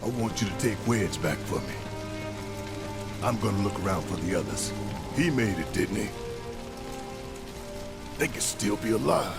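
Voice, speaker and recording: Gravelly, gruff male fighter over loud music. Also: fairly low pitch, expressive, normal speed, pauses often. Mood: grieving. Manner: blunt, emotional. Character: gruff, passionate, burly fighter, loyal leader. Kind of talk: video game voice acting. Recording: loud music and rain behind.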